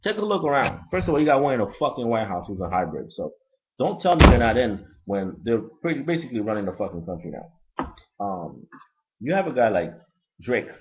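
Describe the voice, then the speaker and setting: Man, Haitian accent, blunt, profane, rambling review, lively pitch, lo-fi sound. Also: a little fast, slight background noise. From Brooklyn, New York. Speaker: album reviewer. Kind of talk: casual unscripted album review rant. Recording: lo-fi dull recording.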